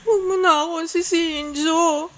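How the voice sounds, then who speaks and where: A woman's voice: extremely high pitch, steady tone, normal speed, fairly bright tone. An actress playing a heartbroken, trusting young woman in a Filipino radio melodrama, plaintive and tearful, with a Filipino accent. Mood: tearful, hurt.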